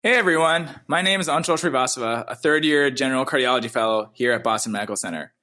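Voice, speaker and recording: A man's voice: medium pitch, fast talker, pushed and bright. A man in his thirties from Cincinnati, a cardiology fellow chatting upbeat and jokey on a podcast. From Cincinnati, USA. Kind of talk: casual podcast chat.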